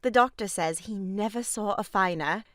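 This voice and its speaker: A woman's voice: medium pitch, normal speed, no echo, clean studio sound, natural voice. A woman playing an arch, mock-pious young sister-in-law in a comic stage farce, scolding in a grand theatrical way. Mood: proud.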